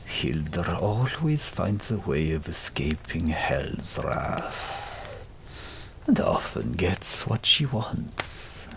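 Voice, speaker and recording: Man acting a low, gravelly, teasing young Norseman. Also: big pitch swings, very slow, a bit bright, slight background noise, full character voice. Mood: reassuring. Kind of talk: audiobook character dialogue.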